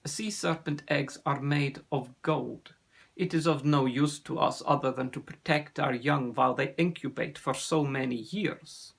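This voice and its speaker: A man reading a children's story, voicing a proud, grave father sea serpent in a solemn, measured manner. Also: mid-range voice, gravelly, fairly bright tone, breathy edge, exaggerated voice. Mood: proud, firm.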